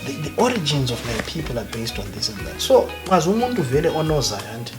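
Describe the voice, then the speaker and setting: A man with a Zimbabwean accent, a guest academic talking emphatically and with passion in a relaxed interview. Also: on the high side, fast talker. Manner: emphatic, passionate, mixes in Ndebele phrases. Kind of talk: conversational interview. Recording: background music. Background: music.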